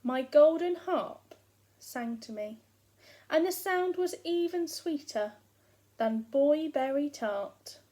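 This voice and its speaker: A storybook giant played by a woman with a higher-pitched voice and a very slow, deliberate delivery. He is huge, hungry and sorry for himself, grumbling sarcastically and stressing each grievance. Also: animated, dark tone. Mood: rueful. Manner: complaining, sarcastic, emphatic. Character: grumbling, self-pitying, hungry giant husband, huge and aggrieved. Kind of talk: first-person storybook narration read aloud.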